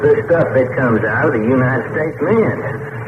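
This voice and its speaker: An actor playing an excitable, folksy adventurer sidekick in a 1940s radio serial. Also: male voice, medium pitch, measured pace, lightly acted. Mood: eager. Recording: echoey hissy lo-fi old radio transcription.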